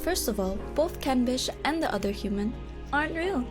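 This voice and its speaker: A woman's voice: sits high, expressive, normal speed, music in the background. A woman playing a proud, stern but courteous illusionist in a fantasy audio drama, speaking formally and theatrically. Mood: smug, explaining.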